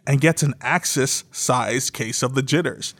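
A man's voice: medium pitch, a little slow, fairly bright tone. A man co-hosting a podcast in a casual, bantering style, playfully argumentative. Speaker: podcast co-host.

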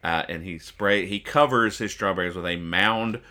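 A man's voice: low-pitched, moderate pace, sharp tone. A man hosting a comedy podcast, casually reading out and explaining comics in a rambling, mock-earnest way. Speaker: podcast host.

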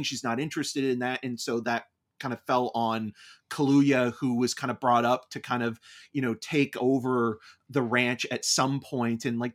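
A man co-hosting a casual film review, explaining his points wordily and with enthusiasm. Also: medium pitch. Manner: enthusiastic, wordy, loosely explanatory. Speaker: podcast co-host reviewing films.

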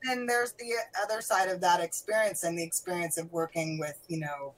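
A woman's voice: fairly low pitch, steady tone, brisk, low-quality recording. A middle-aged woman and singer talking in a rambling, casual way, laughing often and using many fillers. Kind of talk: casual conversation.

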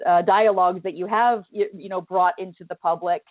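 A woman's voice: on the low side, steady tone, brisk, no echo. A woman hosts a call-in radio interview, enthusiastic and a little rambling as she reflects on her guest's answers. Her voice sounds dry and close, with narrow, phone-like sound. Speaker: radio host.

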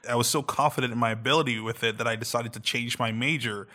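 A man's voice: medium pitch, fairly even intonation, fast talker, sharp tone. A man in his thirties hosting a podcast, chatting casually and animatedly with frequent laughs and fillers. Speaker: podcast host. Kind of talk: casual chat.

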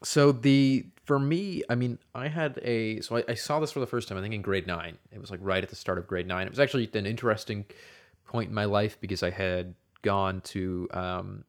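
A man in his twenties discussing film history casually, thoughtful and measured, with plenty of fillers. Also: on the low side, steady tone. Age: 20s.

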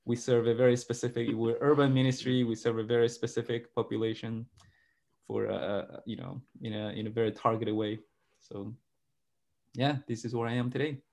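A man in his forties from Argentina, a pastor and sociologist answering interview questions. He speaks haltingly, often repeating words and restarting his sentences. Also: medium pitch, little pitch movement, creaky, darkish tone. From grew up in Argentina.

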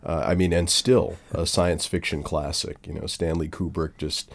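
Male host, low pitch, casual radio interviewing, conversational, enthusiastic, appreciative. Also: flat delivery, normal speed, creaky.

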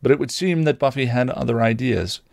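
A man reading fan fiction aloud as expressive story narration. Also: medium pitch, a little fast, vocal fry, dry recording, studio quality. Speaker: fan fiction reader on a podcast.

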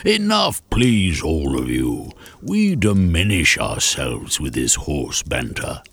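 A man's voice: very deep voice, wildly swooping pitch, takes it slowly, vocal fry, a bit bright, slightly rough, dry recording, heavily acted. A man voicing a stern aristocratic club secretary who calls for order. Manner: commanding, peace-keeping.

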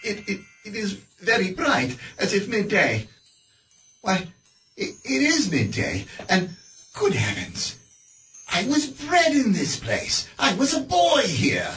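A man plays a bitter old miser in a radio play, stammering and halting as he goes. His voice sits fairly high and swoops up and down, and he takes it slowly. It sounds a little distant, with a faint hiss. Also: wildly swooping pitch, fairly bright tone, soft background music, full character voice. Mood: wondering.

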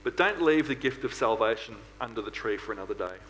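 A man's voice: fairly low pitch, lively intonation, fast, a bit bright, low-quality recording. Male preacher with noticeable background noise. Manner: earnest, with rhetorical questions. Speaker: preacher at a church. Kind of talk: sermon to a congregation.

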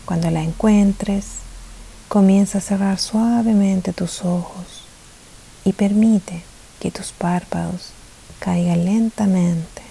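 A woman leading a guided meditation, soft-spoken and soothing, with gentle pauses and a tendency to drift toward a breathy near-whisper. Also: medium pitch, fairly even intonation, poor audio. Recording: faint hiss behind the voice.

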